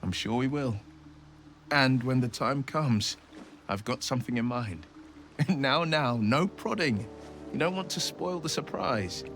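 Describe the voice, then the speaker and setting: Gallant, smooth-tongued young hero, low-pitched. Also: male voice, animated, unhurried, soft background music. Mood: teasing, hopeful. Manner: courtly, eloquent phrasing with soft hesitations. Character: gallant young warrior hero, earnest, gently teasing, romantic. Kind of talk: video game dialogue acting.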